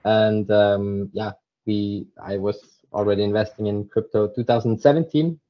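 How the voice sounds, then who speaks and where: A man's voice: fairly low pitch, fairly even intonation, moderate pace. A young man from Zurich, a podcast guest telling his story casually with many fillers. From Switzerland, Zurich. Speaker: podcast guest, crypto startup co-founder. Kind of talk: casual interview talk. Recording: dull lo-fi remote audio.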